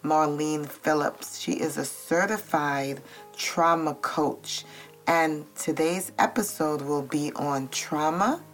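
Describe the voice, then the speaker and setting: A woman from Trinidad and Tobago hosting a podcast, affirming and warm in how she responds. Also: sits low, slow pace, soft background music. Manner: warm and affirming, with laughter and amens. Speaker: podcast host. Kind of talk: podcast interview.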